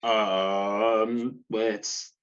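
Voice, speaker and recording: A man's voice: on the low side, flat delivery, extremely slow, a bit bright, clean tone. An actor playing an awkward, polite young man, hesitant and baffled, in a comedic script read over a dull connection. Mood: uneasy. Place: recorded remotely at home on a video call.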